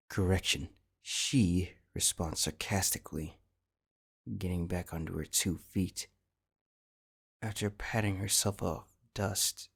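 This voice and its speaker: A man's voice: low voice, creaky. A man reading a scripted second-person fantasy story as its narrator. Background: faint music.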